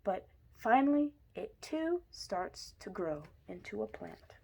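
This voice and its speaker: A woman reading a children's picture book aloud in an expressive way, with deliberate emphasis. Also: medium pitch, gravelly, slight background noise. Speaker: story reader.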